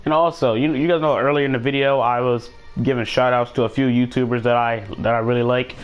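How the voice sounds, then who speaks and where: A man's voice: medium pitch, steady tone, fast, muffled recording, faint music behind. A man chatting casually as he hosts a live gaming stream and commentates on the action, often repeating phrases for emphasis.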